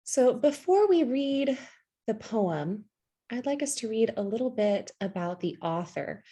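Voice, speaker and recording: A woman in her thirties leading a poetry workshop on a video call through earbuds, speaking gently and encouragingly with frequent ums and restarts. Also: a little high, sing-song, a little slow, slightly rough. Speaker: workshop facilitator. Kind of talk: online workshop teaching. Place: home room with bookshelves. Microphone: earbud headset on a video call.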